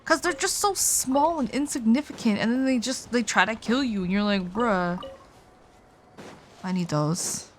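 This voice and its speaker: A woman's voice: fairly high pitch, measured pace, a little hiss or hum. A woman live-streaming a video game with casual, slangy and sardonic commentary.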